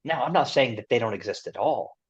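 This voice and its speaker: A man's voice: medium pitch, lively intonation, brisk, husky. A man in his forties, a veteran guest on a podcast, speaking casually and emphatically with frequent "you know"s. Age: 40s. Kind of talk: casual interview conversation. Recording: dull, muffled lo-fi audio.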